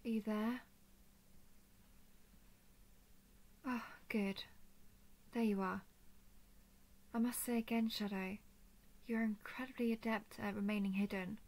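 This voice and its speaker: A woman voicing a gentle, protective female dragon in a scripted roleplay audio drama, soft and soothing with formal, measured diction. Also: medium pitch, steady tone, close to an everyday voice. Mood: relieved, concerned. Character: gentle, protective female dragon, earnest empathic royal daughter.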